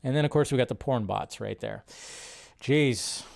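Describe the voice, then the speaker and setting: A man's voice: mid-range voice, moderate pace, gravelly, darkish tone. A man hosting a casual film news livestream, rambling with lots of fillers and restarts as he reads out viewer questions and answers them offhandedly.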